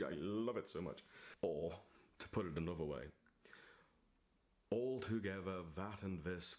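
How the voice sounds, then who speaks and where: A man talking casually to camera as a vlogger and writer, in a theatrical, giddy, digressive manner. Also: sits low. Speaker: vlogger and aspiring novelist.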